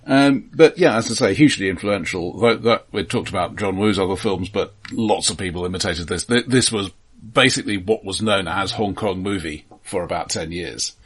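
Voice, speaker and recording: Low-voiced man with a slightly husky edge. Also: a bit bright. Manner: halting, repeats words as he thinks. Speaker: film podcast co-host. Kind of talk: casual film discussion.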